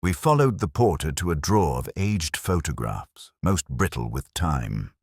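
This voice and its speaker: A man reading a scripted first-person detective story in a measured, reflective, literary manner. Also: a bass voice, big pitch swings, breathy edge, dry recording, clean studio sound.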